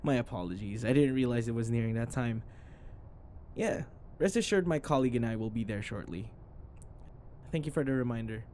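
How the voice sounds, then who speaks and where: A man's voice: mid-range voice, a little fast, long pauses, dark tone, a little hiss or hum, natural voice. A man playing a sarcastic, irritable office rival who taunts and scoffs, in a scripted boyfriend audio roleplay. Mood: polite, businesslike. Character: snarky, irritable male office rival, teasing and combative.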